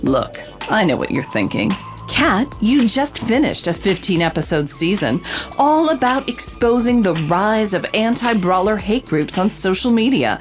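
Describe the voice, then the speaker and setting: An actress playing a confident investigative reporter hosting a true-crime podcast, with theatrical, knowing scripted delivery. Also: female voice, medium pitch, sing-song, a little slow, soft background music. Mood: intriguing, knowing. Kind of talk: scripted dramatic podcast narration and interviewing.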